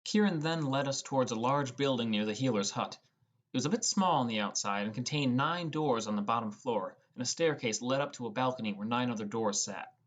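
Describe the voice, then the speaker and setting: A man's voice: mid-range voice, a little fast. Young man narrating a story. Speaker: audiobook narrator. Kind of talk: scripted first-person narration.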